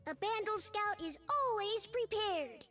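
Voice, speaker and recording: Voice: extremely high pitch, animated, measured pace, sharp tone, soft background music, full character voice. An actor voicing a small, cheerful, faintly mischievous creature scout for a video game, chirpy and earnest. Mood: friendly, upbeat. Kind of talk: video game character voice lines.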